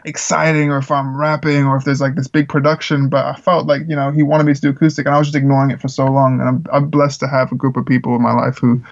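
Man, musician guest, from the Czech Republic, earnest, rambling, many fillers, mid pitch, slightly dull lo-fi audio. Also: little pitch movement, fast, gravelly, few pauses. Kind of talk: casual interview talk.

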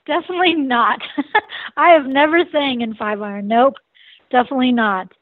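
A woman's voice: high-pitched, normal speed, no echo. A woman in her forties with a nasal voice, a singer and saxophonist answering interview questions in a bubbly way and laughing often. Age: 40s. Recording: dry, tinny phone line.

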